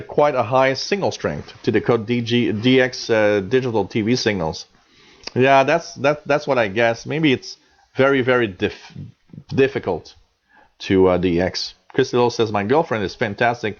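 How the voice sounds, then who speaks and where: A man's voice: medium pitch, highs cut off. A Canadian man in his forties hosting a live radio show, chatting casually and reading out chat messages with lots of fillers and restarts.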